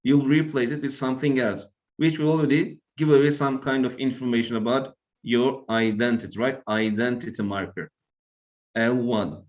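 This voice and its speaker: A man's voice: medium pitch, fairly even intonation, moderate pace, a little mellow. Turkish-accented man lecturing, lo-fi sound. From Turkey. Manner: explanatory, tags points with "right?". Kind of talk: academic lecture.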